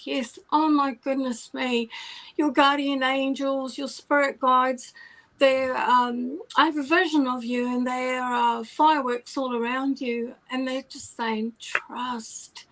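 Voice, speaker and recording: A woman with a soft voice giving a psychic reading, affectionate, sometimes dropping to a whisper. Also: sits high, level tone, slow, rough recording. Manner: warm, affectionate, drops to whispers. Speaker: psychic reader.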